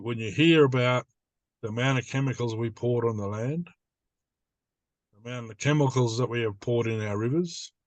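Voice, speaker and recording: Older Australian male elder, mid-pitched, plain-spoken informal teaching, close and dry recording. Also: no echo. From New South Wales, Australia. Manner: plain-spoken, repeats phrases in simple lists.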